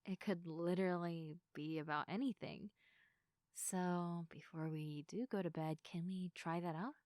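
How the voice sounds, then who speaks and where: A young woman playing a sleepy, affectionate girlfriend in an intimate sleep-aid roleplay, speaking softly and tenderly to the listener in a giggly, hesitant way. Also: lowish voice, steady tone, a little slow, smooth, no echo, clean studio sound, lightly acted. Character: sleepy, affectionate girlfriend, gentle, playful and sincere. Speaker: audio roleplay performer.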